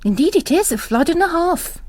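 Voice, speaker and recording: A woman voicing a suspicious, brisk housekeeper with a tart and proper manner. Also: very high voice, big pitch swings, no room sound, voice pushed up.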